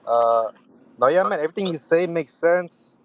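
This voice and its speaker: An eager man in his twenties calls in to a live audio chat, talking fast and slangy. His voice sits a little high, and a faint vehicle hum runs behind him. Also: lively intonation. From United States. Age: 20s. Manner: eager, slangy, says bro a lot. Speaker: caller. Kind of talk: casual chat.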